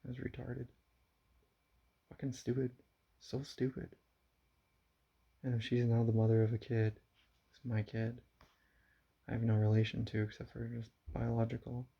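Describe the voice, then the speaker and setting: A young man talking quietly into his camera at home in a confessional vlog, halting and subdued, with frequent sighs. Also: mid-range voice, flat delivery, gravelly, slightly rough. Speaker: vlogger. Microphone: camera mic. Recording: dull lo-fi camera audio.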